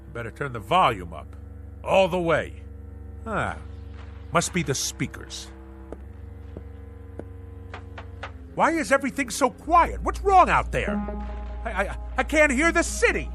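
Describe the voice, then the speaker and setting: An actor in a radio drama playing a pompous, manic husband obsessed with the navy, declaiming in grandiose nautical bombast. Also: male voice, high voice, wildly swooping pitch, normal speed, pushed and bright, faint music behind, exaggerated voice. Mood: bewildered, alarmed. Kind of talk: theatrical radio drama acting.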